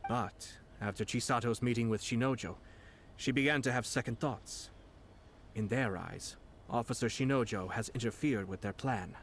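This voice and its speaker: An actor playing a calm, calculating nobleman in a video game, speaking in a measured, formal, deliberate way. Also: mid-range voice, slight background noise, natural voice. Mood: grave, composed. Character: composed, cunning nobleman, calm and calculating commission head. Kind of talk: scripted video game dialogue. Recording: background music under the voice.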